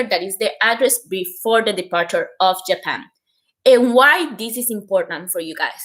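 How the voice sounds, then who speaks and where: A woman's voice: medium pitch, a bit bright. Costa Rican woman explaining informally. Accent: Costa Rican Spanish. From Costa Rica. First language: Spanish. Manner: explanatory, repeats and stresses key points, frequent "like". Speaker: host giving application advice. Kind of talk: informal explainer to camera on a livestream.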